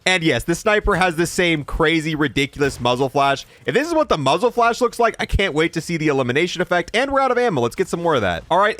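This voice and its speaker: A man's voice: sits high, a bit bright. A man reviewing a video game in an informal, rambling way, thinking aloud as he comments.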